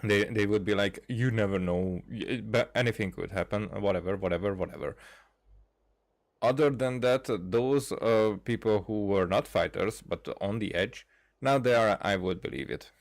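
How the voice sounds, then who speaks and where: A man's voice: fairly low pitch, moderate pace. A man giving casual, rambling gameplay commentary as a streamer, with a hesitant delivery. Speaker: streamer playing a game.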